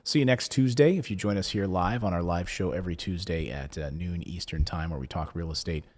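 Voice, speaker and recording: A man hosting a live investing show, chatting casually, rambling with lots of fillers and restarts, his voice going hoarse. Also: low voice, a little fast, a little mellow. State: losing his voice. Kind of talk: casual live-stream chat, reading viewer comments.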